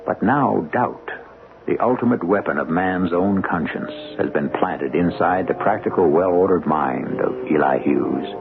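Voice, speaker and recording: A man hosting a radio drama, narrating in an ominous, deliberate, theatrical 1970s style. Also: low voice, creaky. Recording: muffled old radio broadcast. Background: music.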